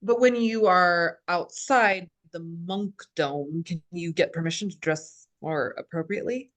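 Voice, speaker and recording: A woman as a low-voiced, wry female priest. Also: unhurried, a bit bright. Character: confident, plain-spoken, teasing female priest. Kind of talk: table read of a comedy script.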